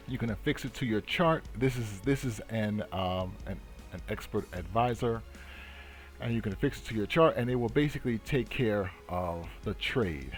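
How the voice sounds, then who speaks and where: A man explains things off the cuff as a tutorial host, halting and repeating himself with many hesitations. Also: lowish voice. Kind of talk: unscripted explanatory screen tutorial. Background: music.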